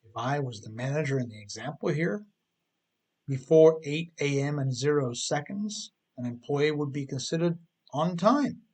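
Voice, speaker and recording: A man's voice: fairly high pitch, expressive, normal speed, husky. A man narrating his own scripted text like an audiobook, with an emphatic storytelling delivery full of rhetorical questions. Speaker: author reading his own writing.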